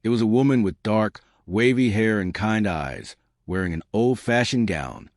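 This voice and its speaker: A man's voice: on the low side, lively intonation, moderate pace, no echo, studio quality. A man reading a children's fairytale as a scripted, storybook narration.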